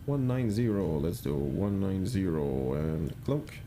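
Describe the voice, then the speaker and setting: Low-voiced male sim streamer. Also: expressive, warm tone, slight background noise. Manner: thinks aloud, reads chat, halting with many fillers. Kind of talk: casual live-stream chat.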